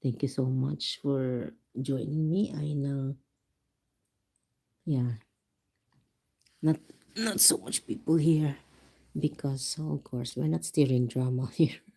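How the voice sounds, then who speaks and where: A Filipino-accented livestream host talking slowly and softly from home. The voice is husky with a gravelly edge. The tone is mellow, easygoing chat with viewers. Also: mid-range voice, steady tone. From Philippines. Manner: speaking softly and quietly to avoid disturbing neighbors. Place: home, indoors.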